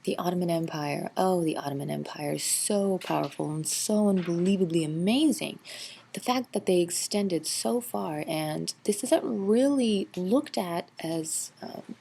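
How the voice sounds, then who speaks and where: A woman's voice: fairly low pitch, unhurried, vocal fry, dark tone, husky. A woman teacher narrating a slideshow review lecture, explaining steadily with frequent ums and uhs. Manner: explanatory, filler-heavy with frequent um and uh. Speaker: history teacher.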